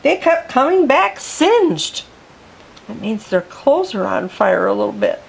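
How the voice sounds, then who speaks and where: A woman reading a picture book aloud to children in an expressive way, pausing to explain words. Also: a little high, vocal fry, a little hiss or hum. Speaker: storyteller.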